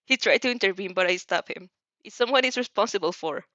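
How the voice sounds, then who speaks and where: A woman's voice: medium pitch, quick pace, bright, forceful tone, no room sound, muffled recording, close to an everyday voice. A woman voicing a protective teenage girl hero, earnest and insistent, in a story reading. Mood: defensive, guilty.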